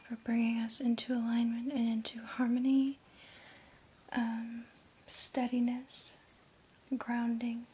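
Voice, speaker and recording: Breathy, whispering woman doing ASMR. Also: fairly high pitch. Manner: gentle, unhurried, halting. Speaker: ASMR reiki practitioner and host. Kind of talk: ASMR reiki roleplay, softly spoken to the viewer. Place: indoor room.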